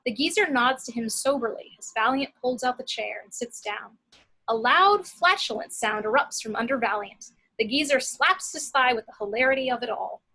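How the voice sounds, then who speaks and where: A woman narrates screenplay action at a table read. Her voice is fairly high and bright, with a noticeably husky edge. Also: expressive, moderate pace, low-quality recording. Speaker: narrator reading action descriptions.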